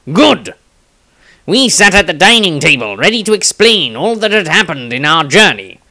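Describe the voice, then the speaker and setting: Man acting a high, creaky, suspicious adventurer. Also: pushed and bright, heavily acted. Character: earnest, suspicious male adventurer recounting grim events in his diary. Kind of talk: fantasy storybook diary reading.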